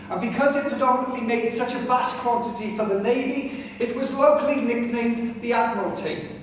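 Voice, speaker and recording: A man with a naturally loud voice gives a chatty local history talk, often checking in with "right?". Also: very high voice, a little hiss or hum. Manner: chatty, addresses the audience directly with frequent "right?". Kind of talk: public history talk to a live audience. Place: large hall with pillars, live audience. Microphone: no microphone, far room pickup. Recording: echoey hall from far off.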